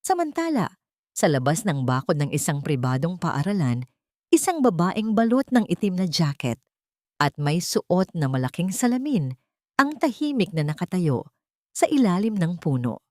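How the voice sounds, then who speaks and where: A woman's voice: on the low side, sing-song, normal speed, no room sound, studio quality. A woman narrating a dramatic story in an emotive, scripted reading.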